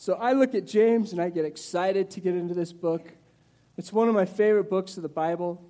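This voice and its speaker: A man in his forties preaching a sermon in a conversational, animated way, with asides to the listeners and some restarts. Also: fairly quick. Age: 40s. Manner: animated, conversational preaching with rises in pitch and volume. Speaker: pastor.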